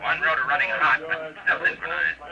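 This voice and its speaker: An actor playing a deferential junior officer making formal reports over an intercom in a mid-century radio drama. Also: male voice, fairly high pitch, level tone, fairly quick, a crowd in the background. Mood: formal, dutiful. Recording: crowded, noisy old radio intercom sound.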